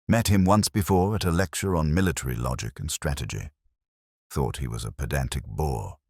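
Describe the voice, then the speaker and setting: Deep, brusque army colonel voiced by a man. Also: normal speed, darkish tone, no echo, clean studio sound. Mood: brusque, defensive. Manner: brusque, curt. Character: gruff, dismissive male army colonel.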